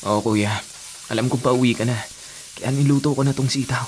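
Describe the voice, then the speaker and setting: An actor with a Filipino accent playing a sickly, guilt-ridden younger brother in a radio drama, gentle and apologetic. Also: male voice, medium pitch, fairly even intonation, brisk, a little hiss or hum, natural voice, rough recording. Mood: guilty, grateful. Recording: music under the voice.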